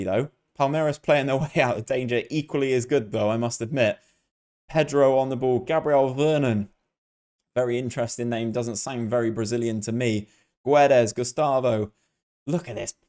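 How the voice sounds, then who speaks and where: A man's voice: medium pitch, a little mellow. Male gaming video host, mid-range voice, casual commentary, chatty, addresses viewers directly.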